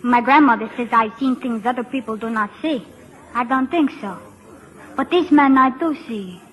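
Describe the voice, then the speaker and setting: A woman as a high-voiced, naive village girl. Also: muffled recording, natural voice. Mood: solemn, eerie. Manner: simple, halting, broken English. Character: naive, solemn, devoted young village girl. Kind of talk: old-time radio drama acting.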